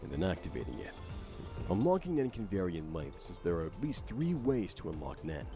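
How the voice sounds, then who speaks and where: Low-voiced man narrating a game explainer. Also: wildly swooping pitch, brisk, music in the background.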